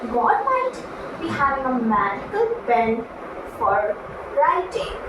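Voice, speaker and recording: A woman voicing an earnest, devout farmer as a puppet guest in a scripted show, with halting, deliberate phrasing. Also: sits high, sing-song, full character voice. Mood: reverent, earnest. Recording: very echoey room, distant mic, noisy.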